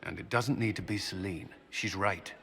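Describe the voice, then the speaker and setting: A man's voice: sits low, moderate pace, vocal fry, a bit bright. An actor voicing a blunt, practical male military commander in a video game, speaking directly and firmly. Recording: game dialogue over music.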